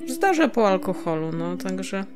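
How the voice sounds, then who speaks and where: A woman's voice: medium pitch, very animated, a little slow, a little mellow, faint music behind. A woman chatting casually and reminiscing as a podcast co-host, giggly and a little hesitant, letting sentences trail off.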